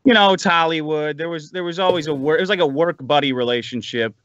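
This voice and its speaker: High, bright-voiced man ranting. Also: fast, dry recording, muffled recording. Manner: profane, sardonic. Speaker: podcast guest. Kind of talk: casual chat, ranting.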